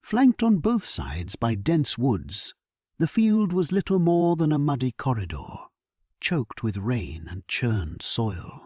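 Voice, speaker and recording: A man narrating a first-person historical sleep story in a solemn, measured, hushed, breathy voice, as a grave and resolute medieval king. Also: mid-range voice, huge pitch swings, no echo, clean studio sound.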